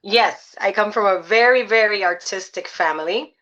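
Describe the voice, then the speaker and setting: A woman's voice: mid-range voice, moderate pace, sharp tone, lo-fi sound. A middle-aged woman from Puerto Rico, a salsa singer chatting as an interview guest, upbeat and full of uh and um fillers. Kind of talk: casual interview chat.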